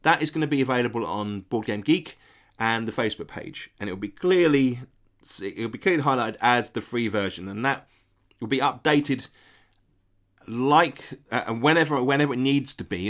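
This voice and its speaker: A man's voice: medium pitch, a bit bright. Guy, kinda bunged up and nasal from a cold, chatting to his stream, lots of ums, middling voice. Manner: hesitant, many ums and restarts, friendly toward viewers. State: stuffy nose from a cold. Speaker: game designer hosting a live stream. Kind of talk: casual live-stream chat, reading out chat messages.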